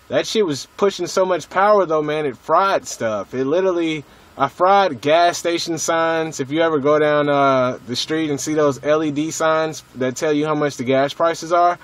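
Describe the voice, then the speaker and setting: An American man chatting casually as a radio hobbyist, in a laid-back, slangy way with some swearing. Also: medium pitch.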